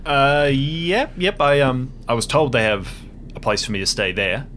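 A man playing an awkward, earnest new park ranger in a tabletop game, halting and polite. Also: medium pitch, a bit bright, slight background noise, close to an everyday voice. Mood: awkward, puzzled.

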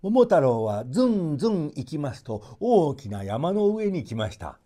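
A male storyteller reads a folk tale in theatrical style. His voice sits a bit high and moves with very lively rises and falls. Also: unhurried.

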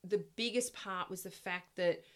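Middle-aged woman, lower register, loud projecting voice, warm chatty storyteller. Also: a little slow. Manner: chatty, lively storytelling with fillers. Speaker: guest, former swim club committee member. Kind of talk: casual podcast interview.

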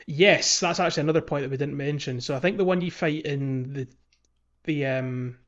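A man's voice: a little high, normal speed. A man co-hosting a casual gaming podcast, explaining things agreeably on a slightly dull recording. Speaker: podcast co-host.

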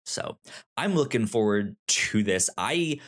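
Low-voiced man with a stutter. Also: expressive, takes it slowly, a bit bright. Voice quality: stutters, repeating syllables and words. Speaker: podcast host. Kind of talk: casual podcast recommendations and chat.

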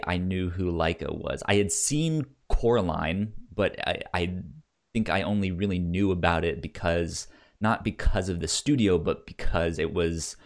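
A man co-hosting a casual film discussion, talking haltingly and often repeating words as he gets his thoughts out. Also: low voice. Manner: halting, repeats words and stammers over starts. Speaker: podcast co-host.

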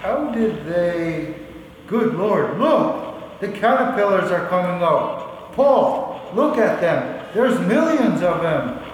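An actor as an anxious scientist, swooping high voice. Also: male voice, sing-song, exaggerated voice. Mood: alarmed. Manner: halting, dramatic, incredulous. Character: anxious, bewildered male scientist buddy. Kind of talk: 1940s radio play acting. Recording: very echoey hall, faint noise.

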